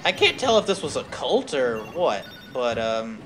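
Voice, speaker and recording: A man chatting casually as he streams a game, telling stories haltingly and drifting off on tangents, with some noise in the recording. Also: medium pitch, sing-song, a bit bright, soft background music. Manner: halting, tangent-prone storytelling. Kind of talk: casual rambling chat over gameplay.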